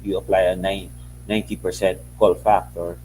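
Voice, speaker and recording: A man with a dark, mellow voice and a Filipino accent explains figures on a call. He speaks plainly in short bursts, with faint noise on the line. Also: deep voice, flat delivery. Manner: plain-spoken, short halting phrases. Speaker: mining company executive. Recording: slightly noisy call, faint hum.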